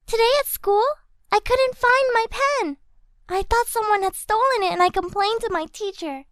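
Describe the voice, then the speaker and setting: Very high-voiced worried little girl. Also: normal speed, dry recording. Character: worried little schoolgirl confiding in her grandmother.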